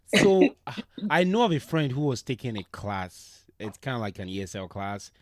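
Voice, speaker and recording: A man's voice: mid-range voice, big pitch swings, normal speed. A man from Nigeria hosting a casual podcast chat, hedging and correcting himself as he goes. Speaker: podcast host.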